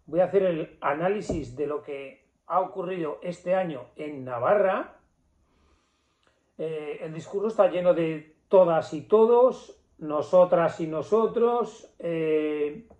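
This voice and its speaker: A man's voice: a little high, darkish tone. A man giving an indignant, emphatic opinion monologue to camera in a small office, with rhetorical questions and repetition. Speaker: political commentator. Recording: echoey room, slightly dull sound.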